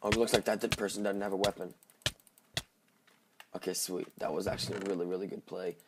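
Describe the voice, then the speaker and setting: A man with a slightly hoarse, just-woken voice giving casual, halting gameplay commentary as he thinks aloud. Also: low voice, level tone, heavy background noise. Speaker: gaming video host.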